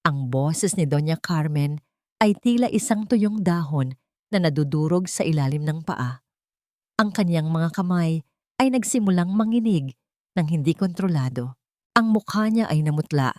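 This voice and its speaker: A woman's voice: deep voice, a little mellow, dry recording, clean studio sound. A woman reading a scripted dramatic story in a solemn, melodramatic manner. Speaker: storyteller narrator.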